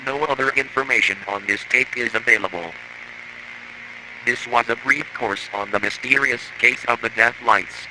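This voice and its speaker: A man's voice: mid-range voice, moderate pace, background noise. A man reading scripted analog horror narration in a formal, matter-of-fact, instructional way, like an informational course.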